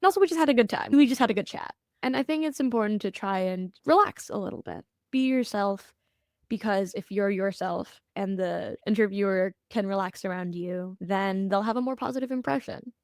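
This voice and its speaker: A young woman, a college counselor, talking in a relaxed interview, thoughtful and earnest with lively bursts. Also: medium pitch, a little fast, dry recording, clean studio sound. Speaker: admissions counselor, guest. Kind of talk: casual interview.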